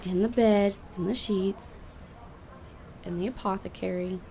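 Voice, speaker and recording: A woman's voice: medium pitch, fairly even intonation, unhurried, creaky, dark tone. A woman playing a domineering, stubborn mother who gives insistent orders in an audio drama. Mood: commanding. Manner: insistent, commanding. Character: domineering mother, brisk, stubborn, commanding her grown children. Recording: faint ambient noise behind.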